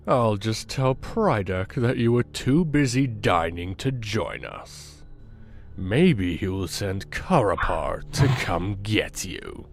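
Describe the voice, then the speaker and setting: Guy doing a sly smug cartoon sea villain, drawls real slow, creaky, theatrical. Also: lowish voice, sing-song, faint music behind, full character voice. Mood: sly, taunting. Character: smug, silky, sly sea villain who savors every word. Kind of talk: fan dub animation acting.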